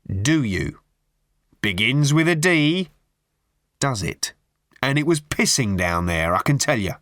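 A man's voice: medium pitch, sing-song, slow pace, fairly bright tone, no room sound, studio quality. A man voicing a gloomy, indignant lorry driver who complains emphatically in an audiobook story. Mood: affronted. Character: ranting aggrieved gloomy male lorry driver.

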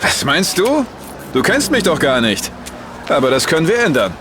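A man's voice: a little high, big pitch swings, moderate pace, background noise. An actor playing a wealthy, polite businessman who charms a woman he nearly ran over. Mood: charming. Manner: polite, charming, switches into English. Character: wealthy smooth-talking businessman, adult man.

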